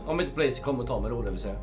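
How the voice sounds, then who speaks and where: A man's voice: medium pitch, animated, a little mellow, soft background music. A young man hosting a let's play, chatting casually, gushing and reading the game's menus aloud at his home setup. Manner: chatty, reads game menus aloud, gushes. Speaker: gamer, channel host. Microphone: desk microphone.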